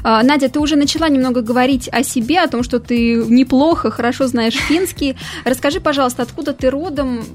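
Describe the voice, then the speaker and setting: A woman's voice: sits high, fairly quick, hardly any pauses, faint music behind. Friendly woman radio host. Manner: friendly, asks polite questions. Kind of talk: radio interview hosting. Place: radio studio.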